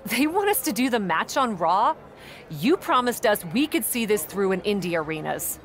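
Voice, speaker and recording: A woman's voice: medium pitch, very animated, normal speed, faint music behind, natural voice. An actress playing a confident, playful woman wrestler in a video game cutscene. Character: confident, punning female wrestling champion.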